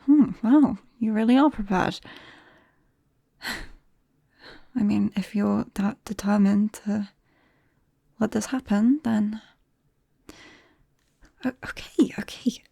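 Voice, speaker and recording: A British woman playing a shy, nervous vampire best friend in an ASMR roleplay, speaking softly and haltingly and often slipping into a whisper. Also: medium pitch, no room sound, studio quality. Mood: hesitant, relenting. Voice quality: soft, breathy, often dropping to a whisper. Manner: hesitant, bashful, halting.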